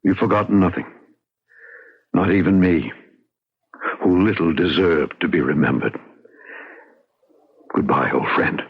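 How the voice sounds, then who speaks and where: Low-voiced haunted man, old radio drama. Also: a little slow, pauses often. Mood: wistful. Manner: earnest, dramatic, literary phrasing. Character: haunted, troubled man recounting a ghost story. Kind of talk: radio drama acting and first-person narration. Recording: muffled old radio recording, music behind.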